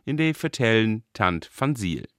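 A male radio reporter with a northern Low German accent delivers scripted narration. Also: big pitch swings, unhurried, over loud music.

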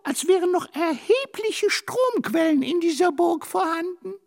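An actor playing a fussy, boastful ghost helper in a children's radio play, with drawn-out wailing delivery. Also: very high voice, lively intonation, vocal fry, a little mellow, heavily acted. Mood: uneasy. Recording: long hollow reverb.

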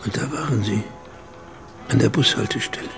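A man's voice: medium pitch, normal speed, gravelly, natural voice. An actor playing an intimate, needling inner voice that narrates dramatically to a woman in a radio drama, over music. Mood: evocative. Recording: music under the voice.

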